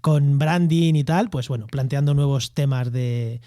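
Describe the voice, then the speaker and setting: A man's voice: medium pitch, measured pace, dark tone, no echo, clean studio sound. A man from La Mancha with an accent from Spain, co-hosting a podcast in a chatty, joking, informal way. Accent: Spanish from Spain. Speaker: podcast co-host and interviewer. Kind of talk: casual podcast chat.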